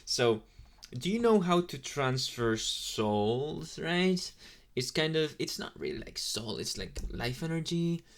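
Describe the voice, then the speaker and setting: A man's voice: on the high side, big pitch swings, takes it slowly, exaggerated voice. Man playing a rambling, apologetic young demon intern. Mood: explaining, reassuring. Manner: rambling, hesitant, casually chatty with slangy asides. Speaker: ASMR roleplay performer. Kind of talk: ASMR boyfriend roleplay, addressing the listener.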